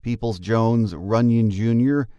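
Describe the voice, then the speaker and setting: An American man hosting a sports podcast, speaking in a measured, conversational way as he interviews and comments. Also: medium pitch, mellow, clear, resonant tone, dry recording, clean studio sound. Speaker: podcast host.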